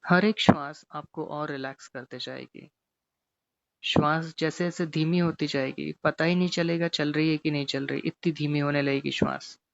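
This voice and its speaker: A man teaching guided meditation, giving gently repetitive, step-by-step instructions. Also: on the high side, fairly even intonation, moderate pace, creaky, dry recording, dull audio.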